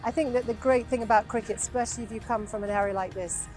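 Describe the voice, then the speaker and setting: A woman's voice: fairly high pitch, fairly even intonation, quick pace, a little mellow, soft background music, poor audio. Earnest woman in an interview. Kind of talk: documentary interview.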